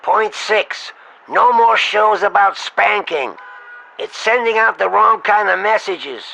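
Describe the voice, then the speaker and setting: A man's voice: high voice, slow pace, gravelly, bright, forceful tone, exaggerated voice. A man playing an exasperated, foul-mouthed talent agent in a comedy phone-call sketch, blunt and sarcastic as he scolds his client. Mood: exasperated, dismissive. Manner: blunt, scolding, sarcastic, talking over the other.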